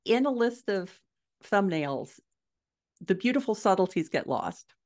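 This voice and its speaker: A middle-aged woman answering interview questions conversationally, telling stories in an animated way on a video call from a room at home. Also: medium pitch, unhurried. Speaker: podcast guest, indie author. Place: small furnished room at home, indoors.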